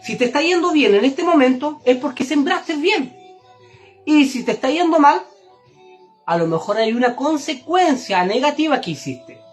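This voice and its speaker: A woman's voice: high voice, expressive, normal speed, soft background music. A woman giving a religious teaching, speaking directly to the listener in an emphatic, didactic way and repeating phrases for stress. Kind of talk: Torah and Kabbalah sermon, direct address to listener.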